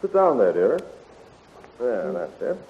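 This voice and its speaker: An actor playing a bold, commanding young space hero in a 1930s radio adventure serial. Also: male voice, on the low side, wildly swooping pitch, slow pace, exaggerated voice. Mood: relaxed, affectionate. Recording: muffled, hissy 1930s radio recording.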